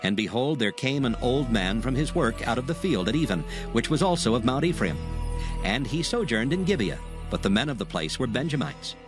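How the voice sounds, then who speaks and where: Expressive male scripture narrator. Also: medium pitch, fairly quick, over music.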